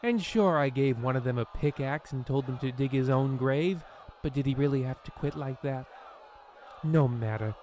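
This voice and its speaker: An actor playing a pompous, stingy, scheming host in a theatrical parody of old-time radio. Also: male voice, medium pitch, moderate pace, darkish tone, some chatter behind, a little hiss or hum, lightly acted. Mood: smug scheming. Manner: theatrical, grandiose. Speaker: host of a radio play series.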